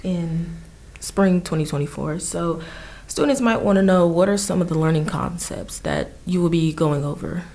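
A woman's voice: fairly low pitch, normal speed, creaky, dark tone. A woman, a student, asking interview questions somewhat hesitantly. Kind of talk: podcast interview.

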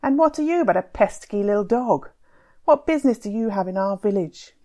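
Sulky, shouting village rival, voiced by a woman reader, mellow and raised. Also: medium pitch, fairly even intonation, unhurried, no echo, clean studio sound, pitched above the performer's own voice, natural voice. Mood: resentful shouting.

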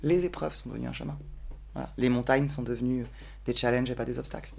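A woman's voice: a bass voice, steady tone, fast talker, vocal fry, darkish tone, a little hiss or hum. A woman talking casually and personally as a podcast guest. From grew up between Bordeaux, France and New York.

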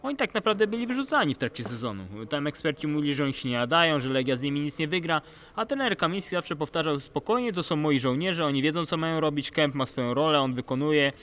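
A man's voice: a little high, expressive, fast, fairly bright tone. A man talks through sports analysis. He sounds like a podcast co-host in casual discussion. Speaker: podcast co-host and basketball commentator.

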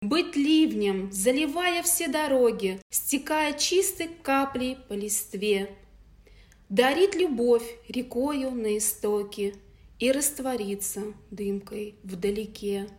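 A young woman, a guest poet, speaking gently in a casual chat and reading her poems. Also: on the high side, expressive, slow pace. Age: young adult. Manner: gentle, soft-spoken.

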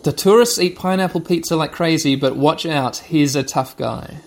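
A man's voice: on the high side, normal speed. A man hosting a podcast, giving chatty, wry running commentary as he plays a video game for listeners. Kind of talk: narrating gameplay to listeners.

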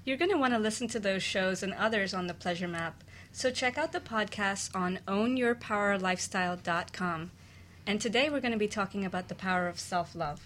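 Woman co-host for talk radio, mid-range voice, semi-scripted delivery, clear background noise. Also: medium pitch, fairly quick, a bit bright. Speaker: guest co-host.

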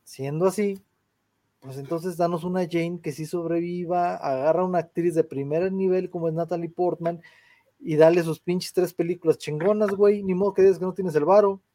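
A man's voice: sits high, steady tone, moderate pace, dark tone, dry recording. A young Mexican man with a Mexican Spanish accent, ranting in casual, slang-heavy podcast chat and swearing freely. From Mexico, San Luis Potosí. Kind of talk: casual chat, film rant.

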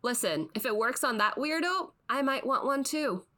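A woman's voice: high-pitched, lively intonation, moderate pace, sharp tone. An actress playing a dry, skeptical podcast host, the exasperated straight woman in scripted comic banter. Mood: exasperated, defensive. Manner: wry, skeptical.

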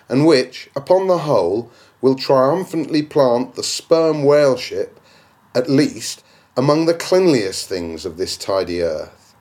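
A man's voice: medium pitch, a little mellow. A man reading a classic novel aloud as an audiobook, with rhetorical, declamatory phrasing. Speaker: audiobook reader. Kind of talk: literary audiobook narration.

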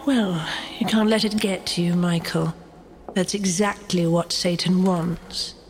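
An actress playing a loyal, encouraging female angel with an earnest, measured manner in an audio drama. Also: on the low side, steady tone, a little hiss or hum. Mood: reassuring.

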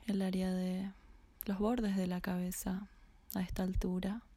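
A woman slowly guiding a body scan meditation in a soft, half-whispered voice. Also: mid-range voice, level tone, husky, no room sound. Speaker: meditation guide.

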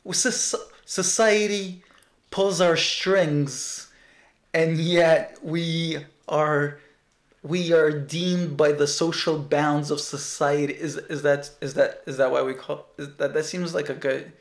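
A man's voice: fairly high pitch, steady tone, slow, reverberant. A man in his thirties from Russia with a Russian accent, co-hosting a casual podcast and rambling and teasing with lots of restarts. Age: 30s. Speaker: podcast co-host.

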